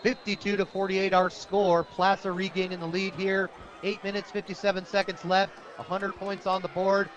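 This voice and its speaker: An American man with a high-pitched voice gives live football play-by-play for radio. His calls are short, energetic and in the present tense. A loud outdoor crowd carries on behind him. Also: normal speed, dull audio. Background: stadium crowd.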